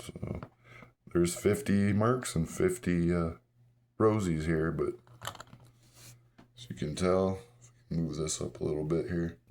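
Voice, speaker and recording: A man with a hoarse, half-lost voice, chatting casually and rambling through a collector's show-and-tell vlog. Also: low voice, fairly even intonation, measured pace, pauses often, a little mellow. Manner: rambling, self-correcting, chuckles at himself. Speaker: coin-collecting vlogger.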